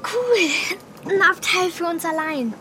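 A cheeky, self-assured young girl in a children's radio play. Her voice is high and her delivery blunt and matter-of-fact, with faint ambient noise behind her. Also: expressive, takes it slowly, full character voice. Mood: confident, bossy. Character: bold, cheeky, resourceful young girl Martha.

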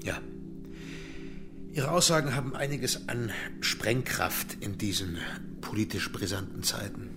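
Stern official, creaky lowish voice, formal, measured menace, radio crime drama. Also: male voice, animated, over music. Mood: formal, controlled. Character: stern, threatening male official silencing an informer.

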